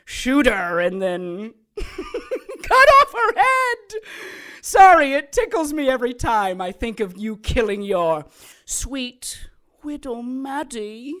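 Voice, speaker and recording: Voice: mid-range voice, very animated, takes it slowly, pushed and bright, exaggerated voice. An actor playing a sadistic sorcerer and former soldier in an audio drama, taunting and theatrical, with gleeful menace. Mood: manic mockery. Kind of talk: audio drama acting, storytelling monologue.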